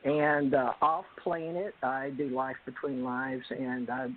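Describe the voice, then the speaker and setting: Woman host talking slow over a tinny phone line, lots of pauses and uhs. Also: extremely low pitch, fairly even intonation. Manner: slow, hesitant, many pauses. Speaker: show host. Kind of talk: self-introduction on a call-in panel.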